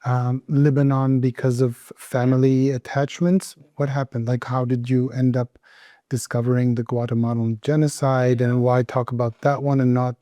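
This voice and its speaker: Even-toned, mellow man asking interview questions. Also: mid-range voice, moderate pace, dry recording. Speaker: podcast host.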